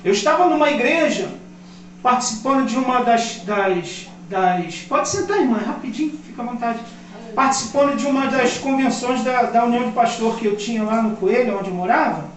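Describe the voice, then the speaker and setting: A man in his fifties, a pastor from Brazil, preaching a sermon that swells louder on key points. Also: very high voice, normal speed. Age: 50s. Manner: impassioned preaching, rising loud on key points. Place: church. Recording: echoey church hall, mic at a distance. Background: congregation responding.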